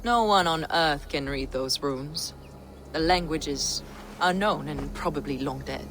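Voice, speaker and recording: A woman playing a devout, shrewd heretic relic collector in a video game, speaking in a measured, cryptic way. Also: deep voice, noisy background. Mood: reverent. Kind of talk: dramatic video game acting.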